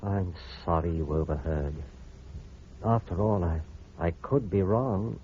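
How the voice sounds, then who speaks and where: An actor playing a smooth, manipulative doctor in a 1940s radio drama, with a theatrical, coaxing delivery. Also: male voice, sits low, unhurried, mellow. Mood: falsely soothing. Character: smooth, scheming, manipulative male doctor, secretly menacing. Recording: muffled, noisy vintage radio recording.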